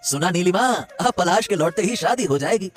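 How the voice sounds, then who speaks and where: A placating household servant played by a man with a very animated, swooping delivery. He is chatty and excitable, waving away worries in cartoon style over music. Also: high-pitched, brisk. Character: meddling, placating male servant of a rich household. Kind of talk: Hindi cartoon story dialogue.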